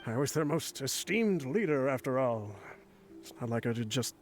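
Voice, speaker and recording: A man's voice: medium pitch, expressive, moderate pace, close to an everyday voice. A man playing an ailing old mercenary leader in a video game, wry, boastful and fatherly as he voices a letter. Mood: tender, wry. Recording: game music behind the voice.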